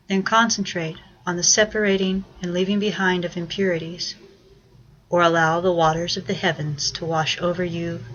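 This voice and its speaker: Lower-voiced woman guiding a meditation. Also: fairly bright tone, muffled recording. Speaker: guided meditation leader. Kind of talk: slow guided meditation narration.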